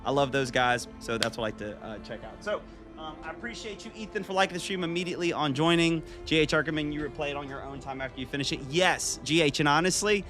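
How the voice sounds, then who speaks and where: A man's voice: a little high, fast talker, music in the background. Chatty man in his thirties streaming a game. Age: 30s. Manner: chatty, upbeat, reads chat aloud and talks to viewers. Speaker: gaming streamer. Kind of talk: casual live stream chat while gaming.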